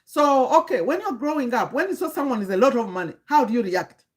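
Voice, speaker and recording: This is a woman, coaching viewers on a live stream. Her voice sits a little high, and she lectures earnestly, stopping and restarting her sentences as she goes. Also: sing-song, fast. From Uganda. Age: 50s. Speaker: livestream host and community coach.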